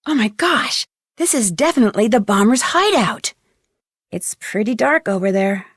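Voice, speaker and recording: Curious girl detective in a game, thinking out loud, highish voice, dry. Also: expressive, moderate pace, slightly rough, no room sound. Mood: thoughtful, curious. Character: curious, thoughtful young woman detective. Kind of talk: game voice acting, thinking aloud.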